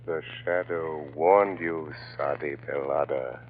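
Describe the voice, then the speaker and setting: An actor playing a mysterious, calm and menacing avenger in a slow, taunting 1930s radio style. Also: male voice, rumbling low. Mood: grave, menacing. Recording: tinny, noisy old radio recording.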